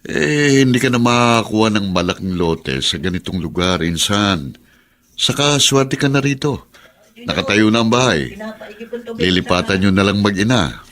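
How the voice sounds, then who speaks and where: Low-voiced, coaxing older cousin. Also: male voice, animated, moderate pace, fairly bright tone. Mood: persuasive. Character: helpful, persuasive older male cousin arranging a house sale. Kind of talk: Filipino radio drama acting.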